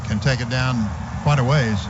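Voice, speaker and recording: A man doing radio play-by-play for a football game, briskly calling clock and yardage and getting louder on big plays. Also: medium pitch, fairly even intonation. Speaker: play-by-play announcer. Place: stadium radio booth. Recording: muffled broadcast with loud background noise.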